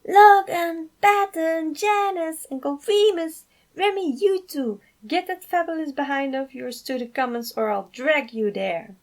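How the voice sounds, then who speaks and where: A woman's voice: sits high, measured pace, hardly any pauses, pitched above the performer's own voice. A woman voicing a giddy, flamboyant young male fantasy character in a story reading, gushing with dramatic exclamations. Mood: excited yelling.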